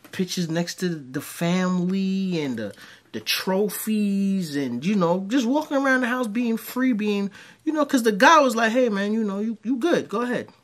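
A man, telling crude stories in a home-studio reaction commentary. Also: high voice. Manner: animated, rambling storyteller with crude jokes. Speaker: commentary host. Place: dim home room with green light.

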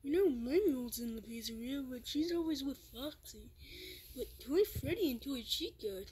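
A woman acting out a plush-toy skit, talking haltingly with restarts and surprised questions, recorded on a handheld phone. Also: high voice, warm tone, a little hiss or hum. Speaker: plush video maker voicing toys.

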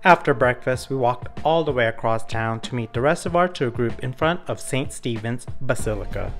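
A man reading scripted voice-over narration in a measured, informative way. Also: mid-range voice, music in the background.

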